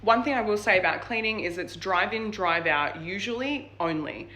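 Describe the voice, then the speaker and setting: A woman's voice: medium pitch, animated, normal speed, bright, forceful tone. A young Australian woman from New South Wales giving casual advice in a vlog, chatty and full of fillers like "like" and "um". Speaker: vlogger.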